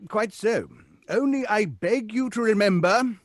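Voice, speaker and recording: A man's voice: high-pitched, expressive, measured pace, no room sound, studio quality. A man playing a grave, courteous aristocrat in an old-time radio drama, formal and portentous. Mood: grave, earnest. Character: grave, courteous English aristocrat, warning earnestly. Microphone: studio mic with headset, video call.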